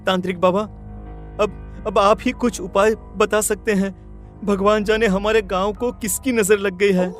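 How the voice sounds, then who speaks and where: Man playing a high-pitched, earnest young villager. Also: flat delivery, measured pace, soft background music. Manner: earnest, hesitant repetitions. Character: distressed, determined young village man seeking answers. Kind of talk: dramatised horror cartoon story.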